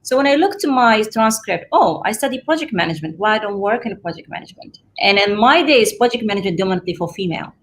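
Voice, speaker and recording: A woman's voice: medium pitch, animated, quick pace, hardly any pauses, fairly bright tone. Emirati woman, native Arabic speaker, earnest. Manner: earnest, frequent restarts and fillers. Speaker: guest. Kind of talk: casual interview conversation.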